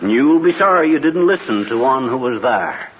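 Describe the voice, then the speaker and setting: An actor playing a blustering, boastful, middle-aged husband in a 1940s radio comedy. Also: male voice, medium pitch, lively intonation, normal speed, a crowd in the background, lightly acted. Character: blustering, boastful, jealous husband, teller of tall tales. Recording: muffled, very noisy 1940s radio recording.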